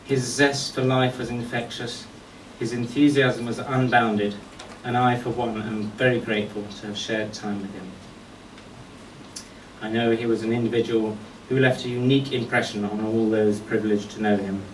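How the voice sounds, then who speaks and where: A man delivering a eulogy full of anecdotes, telling stories in short phrases with deliberate pauses. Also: mid-range voice, flat delivery. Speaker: friend giving a memorial tribute. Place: golf club function venue at a memorial service. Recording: echoey distant mic with background noise.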